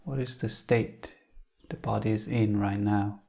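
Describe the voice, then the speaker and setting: A man leading a guided meditation in a calm, unhurried manner, leaving long pauses. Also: on the low side, gravelly.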